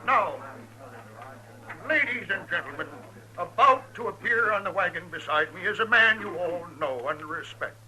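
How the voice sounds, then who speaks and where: An actor playing a bombastic, smooth-talking quack professor with a grandiose oratorical sales pitch in a 1950s radio western. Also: male voice, expressive, normal speed, pushed and bright, exaggerated voice. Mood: solemn, florid. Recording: noisy old radio show recording.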